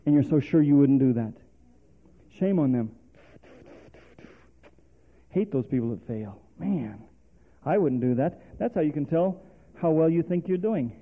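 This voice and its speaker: A man's voice: a little high, expressive, unhurried, long pauses. A male preacher, delivering a sermon full of rhetorical questions and calls for response. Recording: tinny phone-like sermon recording.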